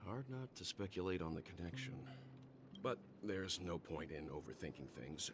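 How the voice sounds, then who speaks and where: A man voicing a calm, thoughtful middle-aged gentleman investigator in a video game, speaking in a measured, formal way. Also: sits low, noisy background. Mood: composed. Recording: music behind the voice. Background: game music.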